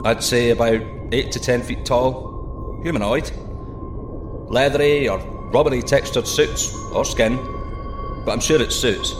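An actor playing a curious, cheeky astronaut explorer, informal and wry, in a sci-fi audio drama. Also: male voice, medium pitch, measured pace, pauses often, natural voice. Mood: thoughtful. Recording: music behind the voice. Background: music.

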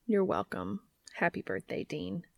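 A woman voicing a calm, reassuring and quietly bossy man in an audiobook-style story, measured and wry. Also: medium pitch, creaky, no echo. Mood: gentle, wry.

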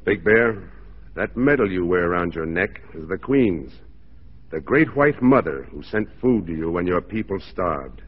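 An actor playing a stern, dry-witted Mounted Police constable, firm and measured, in 1940s radio style. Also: male voice, low voice, animated, slightly rough, heavily acted. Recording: dull 1940s radio recording.

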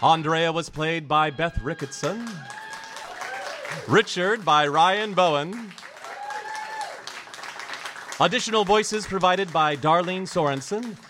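Slow-paced male radio drama announcer. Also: sits high. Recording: live stage recording with audience noise. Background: music and audience sounds.

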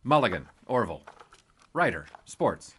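Man playing glib young reporter, lively swooping delivery. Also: fairly low pitch, a little slow, breathy edge, exaggerated voice. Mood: playful. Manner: wisecracking, playfully formal. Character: eager, glib young male 1920s sports reporter. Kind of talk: 1920s period audio drama, with narration.

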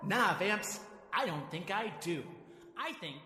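Casting: needling, mocking voice from a memory, sharp and bright, heavily echoed audio drama effect. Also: medium pitch, animated, slow pace, faint music behind. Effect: heavy reverb and echo marking a memory. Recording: echoing, long reverb effect.

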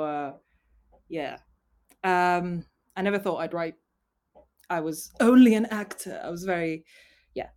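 A young woman from Finland and Oxford, chatting casually and animatedly as an interview guest, with a self-deprecating manner. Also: medium pitch. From Finland and Oxford, England. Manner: animated, self-deprecating, acts out imagined quotes. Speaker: interview guest, actor and writer.

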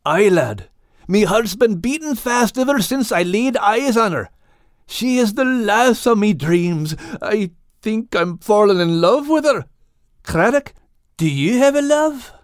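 Guy doing a scottish animal hero for a storybook, earnest and warm-hearted, voice up high, really animated. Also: unhurried, dry recording, clean studio sound. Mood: earnest, smitten. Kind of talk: audiobook character acting.

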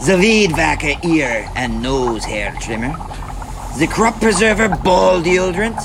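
Man acting a pompous, gravelly pitchman. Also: noisy background. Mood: eager, proud. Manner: formal, grandiose, slightly awkward phrasing. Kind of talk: comic scripted ad read in character.

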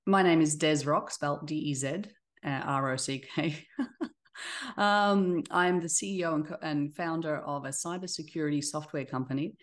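A woman's voice: low-pitched, moderate pace. A middle-aged woman with an Australian accent, a company CEO chatting as a guest on a podcast, friendly and full of fillers. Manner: friendly, with fillers and short laughs. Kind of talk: casual interview chat.

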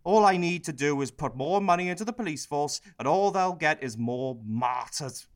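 A man's voice: a little high, lively intonation, normal speed, lightly acted. A man playing a cynical, self-pitying prime minister in a satirical radio play, sardonic and bitter as he confides in the audience. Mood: bitter, self-justifying.

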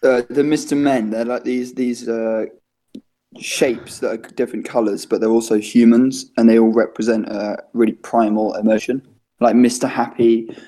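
Mellow-voiced English teenage podcaster. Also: male voice, medium pitch, little pitch movement, moderate pace. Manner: laughs a lot, swears casually, rambling. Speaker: podcast host. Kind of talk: casual comedy podcast chat. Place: home during quarantine.

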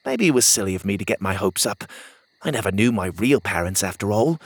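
A man voicing an earnest young boy hero, hopeful and unsure, in a raised voice with a lively pitch. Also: fairly quick, slightly rough, dry recording. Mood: wistful. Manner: earnest, raised higher than the narrator.

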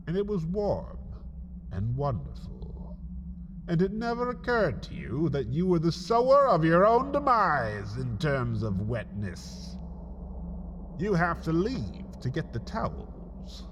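A man narrating a scripted comic story in the second person, theatrical and melodramatic, with dramatic pauses. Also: high-pitched, a bit bright, slight background noise. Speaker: writer and narrator of a comedy story podcast.

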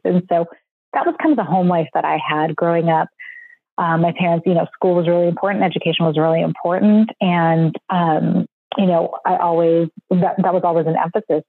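A woman's voice: on the low side, no room sound. A woman in her forties, originally from Sudan, a company founder chatting reflectively as a podcast guest and laughing easily. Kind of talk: podcast interview. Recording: tinny phone-like line.

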